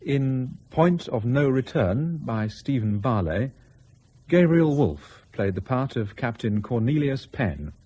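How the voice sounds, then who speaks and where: Husky, unhurried male radio announcer. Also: medium pitch, sing-song, dark tone. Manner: formal, measured. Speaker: radio drama closing announcer. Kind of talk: scripted credits announcement.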